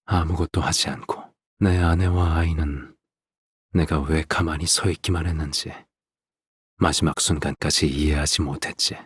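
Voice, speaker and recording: Game role: an eerily calm, possessive father; low, very breathy husky voice, lingering pauses. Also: dry recording, clean studio sound, full character voice. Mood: detached, hushed. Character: quiet, possessive, hollow-hearted father of a daughter. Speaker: game character voice. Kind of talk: dramatic game voice acting, slow monologue.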